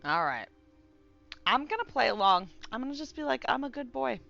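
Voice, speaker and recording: A woman streamer giving casual, giggly, excitable and profane live commentary on a game. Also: on the high side, moderate pace, creaky, muffled recording, faint music behind.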